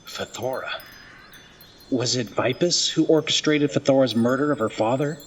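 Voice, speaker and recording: A man plays listener to a storyteller, asking questions conversationally. His voice sits at an average pitch, with light ambience behind it. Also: moderate pace, a little hiss or hum.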